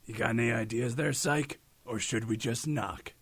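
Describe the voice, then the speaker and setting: A man playing a gruff, cocky, impatient brawler in an audio drama, gravelly and sardonic, with clipped delivery. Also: mid-range voice, moderate pace, fairly bright tone, dry recording. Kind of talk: fan audio drama acting. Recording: very noisy home recording.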